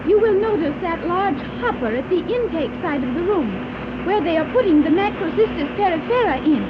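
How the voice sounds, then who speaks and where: An actress playing an earnest young island guide in a 1930s radio serial, speaking in precise, formal diction. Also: female voice, very high-pitched, animated, normal speed. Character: earnest young island woman guiding captive visitors. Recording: tinny, noisy old 1930s radio recording.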